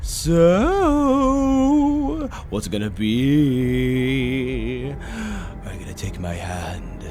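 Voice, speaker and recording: Music plays behind a slow-talking male demon, a flamboyant and sinister tempter. He addresses the listener in a roleplay monologue, stretching words for theatrical emphasis. His delivery is taunting, at an ordinary male pitch. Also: huge pitch swings, darkish tone, exaggerated voice. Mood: seductive taunting. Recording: background music under the voice. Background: orchestral waltz-style music.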